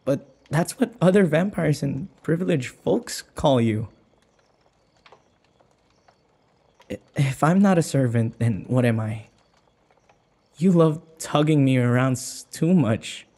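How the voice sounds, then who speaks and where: A man playing a timid, submissive servant of a vampire mistress in an intimate audio roleplay, hesitant and halting. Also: medium pitch, animated, warm tone, heavy reverb, in a large hall, voice pushed up. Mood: nervous, flustered.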